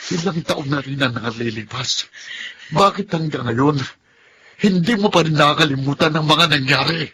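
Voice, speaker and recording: A man's voice: on the high side, moderate pace, sharp tone, slight background noise. An actor playing a pleading, defensive Filipino man in a melodrama, speaking earnestly.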